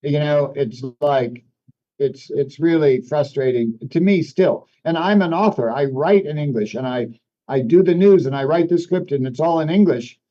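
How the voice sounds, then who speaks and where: A man's voice: on the high side, moderate pace, mellow. A man whose first language is French, hosting a reflective conversation and explaining ideas thoughtfully, with restarts as he searches for words. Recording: dull lo-fi sound.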